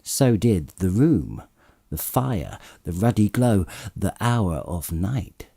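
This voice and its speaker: A man's voice: deep voice, big pitch swings, takes it slowly, gravelly, mellow, husky, no room sound, clean studio sound. A man narrating a classic literary story as an audiobook, theatrically savoring each descriptive phrase.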